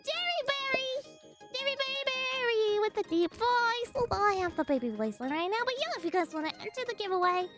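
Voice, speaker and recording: A woman's voice: very high-pitched, expressive, brisk, loud music behind the voice, pitched above the performer's own voice. A woman streamer putting on a cutesy baby voice while chatting with her live stream audience and reading chat aloud. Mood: chirpy. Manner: chatty, reads chat aloud, playful sing-song noises.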